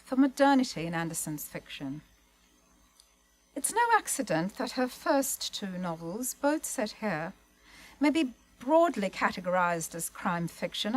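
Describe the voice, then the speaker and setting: A woman speaking as a panellist, giving a prepared literary talk in an articulate, formal way with measured phrasing. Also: fairly high pitch, low-quality recording. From migrated to Australia, lived in Melbourne.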